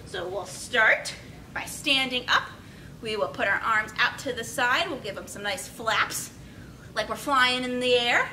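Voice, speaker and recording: A woman's voice: a little slow, bright, forceful tone. A young woman teaching yoga to small children, giving simple, encouraging step-by-step directions as she demonstrates, recorded on a camera across a room. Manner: demonstrating poses while talking. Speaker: children's yoga storytime instructor. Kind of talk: instructional talk for young children. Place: small indoor room with padded steps. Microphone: camera several metres away. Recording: distant camera mic, rumbly background noise.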